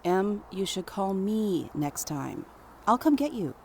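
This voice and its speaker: A woman's voice: mid-range voice, very animated, takes it slowly, gravelly, exaggerated voice. Female best friend, played by an actress, young. Blunt, skeptical, caring, naturalistic drama dialogue with faint ambient noise. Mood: concerned. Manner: blunt, incredulous, conversational.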